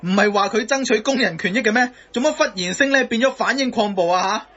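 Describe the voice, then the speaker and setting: A man's voice: very high voice, fast, bright, forceful tone, exaggerated voice. An actor with a Hong Kong Cantonese accent playing a cheeky, brash man trading retorts in a satirical radio drama. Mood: argumentative. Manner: brash, bantering. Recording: slightly dull radio broadcast.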